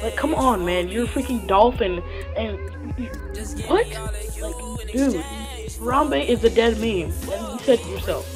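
A woman's voice: fairly high pitch, animated, measured pace, music in the background. A young woman ranting in an exasperated, mocking way in a clip. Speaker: guest YouTuber.

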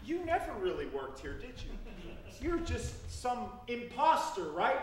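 Exasperated man shouting on an echoey stage. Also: very high-pitched, huge pitch swings, unhurried, far from the mic. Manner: loud, exasperated, bossy. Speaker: improv performer playing a fed-up customer. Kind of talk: live improv comedy scene. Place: small theater stage. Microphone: distant room mic.

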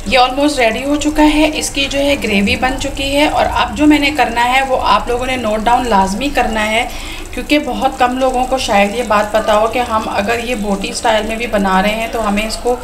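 A woman's voice: a little high, steady tone, moderate pace. A woman chatting casually to her viewers while cooking at home, sprinkling in English words. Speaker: home cook showing a recipe. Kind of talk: casual cooking vlog narration. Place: home kitchen. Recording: echoey room with clear household noise.

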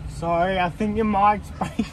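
A man's voice: high voice, steady tone, moderate pace. A young man with an Australian accent hesitantly placing a drive-through order from inside a car. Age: young adult. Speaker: prank customer. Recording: car interior with faint noise.

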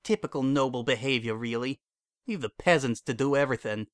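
A man voicing a heartbroken young female pony who speaks in a cold, flat tone that breaks into sobbing, in a dry recording. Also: medium pitch, brisk, dry recording, lightly acted. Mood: flat, bitter.

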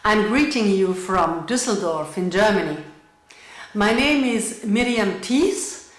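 A middle-aged woman from Switzerland and Luxembourg, a visual artist speaking to camera in a measured, explanatory way with some fillers. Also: medium pitch, animated, echoey room. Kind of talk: recorded artist talk to camera. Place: indoor room with bare wall. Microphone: camera framed at chest height.

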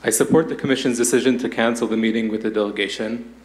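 A man's voice: mid-range voice, steady tone, quick pace. A man in his thirties from Israel reading a prepared statement as a public commenter. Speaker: public commenter at council meeting. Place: public meeting room. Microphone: podium microphone. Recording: roomy meeting chamber echo.

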